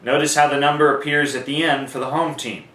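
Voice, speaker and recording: A man's voice: fairly high pitch, fairly even intonation, fairly quick, sharp tone. A man giving an informal, conversational how-to lesson, explaining things step by step. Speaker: sports announcing instructor. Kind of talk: instructional how-to talk with demonstrations. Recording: echoey room, distant microphone.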